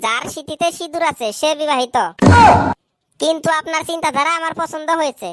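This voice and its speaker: A woman's voice: high-pitched, a little fast, heavy background noise, natural voice. A woman voicing a cheeky, eager schoolboy who recites to his teacher in a comedy cartoon.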